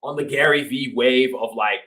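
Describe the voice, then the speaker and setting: A man's voice: medium pitch, moderate pace, a bit bright. A man from Nigeria who moved to the States as a boy, chatting as an interview guest in an informal, slangy storytelling way. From Nigeria until age twelve, then the United States. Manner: informal, slangy, quoting himself and others. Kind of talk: casual storytelling chat.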